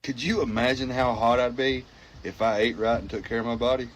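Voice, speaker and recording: A man's voice: mid-range voice, a little fast. A man chatting casually in a joking way. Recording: noisy casual clip.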